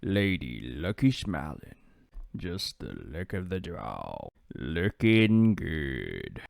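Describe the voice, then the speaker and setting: A man's voice: rumbling low, big pitch swings, slow, gravelly, dry recording, clean studio sound, pitched below the performer's own voice, exaggerated voice. A man voicing a suave, flirtatious gambler rogue from a video game, with a drawling delivery. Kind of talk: video game character impressions.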